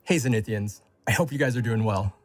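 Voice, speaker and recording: A man's voice: on the low side, a little fast. A man delivering a scripted announcement as a company co-founder addressing a community.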